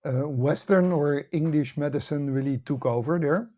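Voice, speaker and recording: A middle-aged or older man from the Netherlands with a Dutch accent, explaining things in a measured, conversational way as an interview guest. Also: fairly high pitch, warm tone. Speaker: podcast guest, academy director and author.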